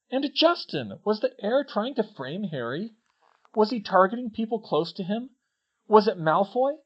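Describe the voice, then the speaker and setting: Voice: medium pitch, a little mellow, dull audio, voice pushed up, close to an everyday voice. A reader voicing an earnest, insistent young girl student who speaks emphatically. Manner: emphatic, didactic, stammers when upset.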